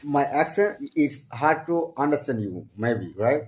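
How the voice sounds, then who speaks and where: Young man from Bangladesh, eager English learner on a video call, halting phrasing, mid-pitched voice. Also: expressive. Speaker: channel host practicing English with strangers. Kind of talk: casual video chat with a stranger.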